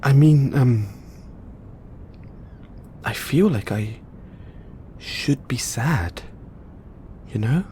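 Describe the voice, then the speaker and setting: Over a faint rumbling noise, a man acts out a geeky mad scientist boyfriend in an intimate audio roleplay. He speaks slowly, stammering and hesitating, excitable and anxious yet tender. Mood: sad, tender. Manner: halting, stammering, breathy hesitations.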